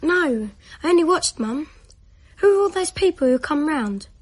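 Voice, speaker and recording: A woman's voice: high-pitched, lively intonation, normal speed, dry recording. A woman playing an anxious, naive schoolboy with a childlike, urgent delivery in a radio drama. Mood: subdued. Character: anxious schoolboy, Jasper, who rides on train tops.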